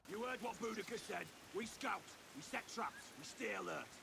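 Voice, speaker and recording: A man playing a wary barbarian scout leader who gives low, urgent, half-whispered warnings. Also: animated, normal speed, vocal fry, full character voice. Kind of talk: video game cutscene acting. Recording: noisy, from off the mic.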